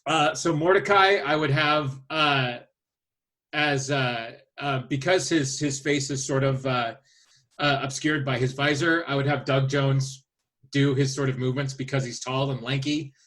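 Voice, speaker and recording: A man co-hosting a podcast, talking casually and haltingly as he thinks his way through his picks aloud. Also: mid-range voice, fairly bright tone. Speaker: podcast host. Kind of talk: casual chat, presenting a list of casting picks.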